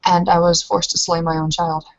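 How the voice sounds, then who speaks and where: A woman playing a guarded young woman who defies her clan, speaking in a formal, measured way. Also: lowish voice, flat delivery, lo-fi sound, natural voice. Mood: grave, confessing.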